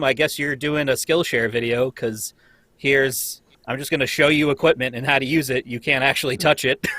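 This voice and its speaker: A man's voice: mid-range voice, pushed and bright, no room sound. An American man who teaches college, chatting casually in a group conversation.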